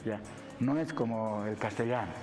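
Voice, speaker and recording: A man's voice: lowish voice, normal speed, darkish tone, big-room sound, faint music behind. A man with a Bolivian accent, a university lecturer explaining things didactically as an interview guest, punctuating his sentences with tag questions. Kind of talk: explanatory television interview talk.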